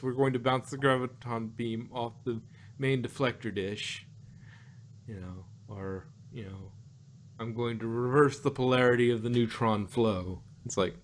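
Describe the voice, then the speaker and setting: A man's voice: mid-range voice, unhurried, slight background noise. A man co-hosting a casual review chat about an audio drama, rambling with many restarts and joking quoted asides.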